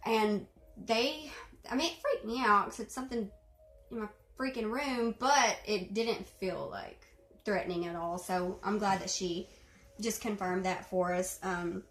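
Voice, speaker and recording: A woman's voice: mid-range voice, a little slow, fairly bright tone. Chatty young woman in a roomy room. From Arkansas. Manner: chatty, many fillers, clears throat. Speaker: video host responding to a reading. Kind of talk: casual chat. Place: bedroom at home. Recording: roomy echo.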